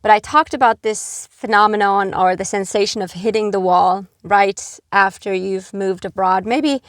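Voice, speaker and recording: A middle-aged woman from Finland giving earnest, gentle advice to camera, with frequent fillers like "you know". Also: medium pitch, normal speed, no echo, studio quality. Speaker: expat vlogger.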